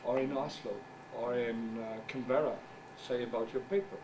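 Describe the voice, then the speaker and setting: A middle-aged man whose first language is German gives a conference talk as a journal editor. He speaks English haltingly, searches for words, and makes wry asides. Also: medium pitch, fairly even intonation. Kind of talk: conference presentation. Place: conference room. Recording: distant mic with background noise.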